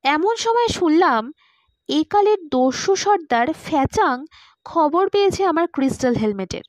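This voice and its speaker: A woman's voice: high-pitched, animated, a little slow, no echo. A woman reading a first-person story aloud as a scripted audiobook narrator.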